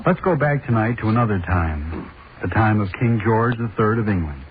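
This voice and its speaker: A man delivering a dramatic, measured scripted introduction in the style of 1930s radio. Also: fairly low pitch, expressive. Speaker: announcer. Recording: scratchy, muffled 1930s radio recording.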